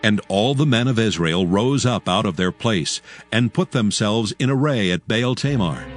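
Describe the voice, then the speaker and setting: A man narrating scripture from a script in a solemn, measured way. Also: lowish voice, animated. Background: music.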